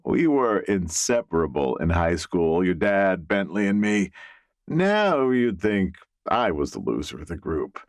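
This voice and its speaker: Man as amiable low-voiced middle-aged guy, sheepish. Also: sing-song, unhurried, no room sound, studio quality, exaggerated voice. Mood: amused, reminiscing. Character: Bernie, amiable, awkward male realtor, middle-aged.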